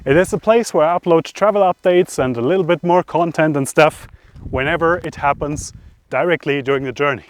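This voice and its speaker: A man's voice: a little high, a little fast. A man hosting a travel vlog, talking casually and conversationally to camera outdoors, often thinking aloud. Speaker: travel vlogger, host. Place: outdoors by roads, lakeside and mountains.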